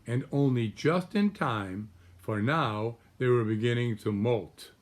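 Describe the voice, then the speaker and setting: Slow-paced older man reading a storybook. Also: mid-range voice, darkish tone. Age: 60s. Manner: unhurried, pausing, explaining pictures to small children. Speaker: grandfather reading aloud to grandchildren. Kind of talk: children's storybook read-aloud with asides about pictures. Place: small room at home, indoors.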